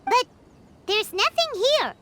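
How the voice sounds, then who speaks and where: A woman voicing a tiny, childlike, excitable fairy companion with a squeaky voice in an English anime game dub. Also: slow pace, noisy background, full character voice. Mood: puzzled. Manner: exclamatory, refers to herself in third person.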